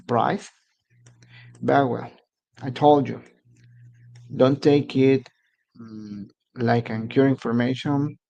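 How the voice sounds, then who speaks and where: A man's voice: mid-range voice, creaky, a little mellow, dull audio. A man from Mexico whose first language is Spanish, speaking English with a Mexican Spanish accent as he hosts a casual live stream and halts to search for words. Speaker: immigration advice channel host.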